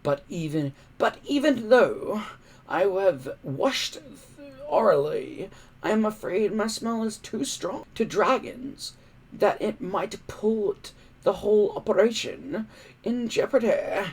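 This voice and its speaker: A smooth storybook villain performed by a man speaks in a raised, swooping voice. He draws out his words grandly and slowly, oozing false regret like the cowardly schemer he is. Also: high voice, lively intonation, voice pushed up, full character voice. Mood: feigned regret. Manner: oily, drawn-out, grandiose speechmaking.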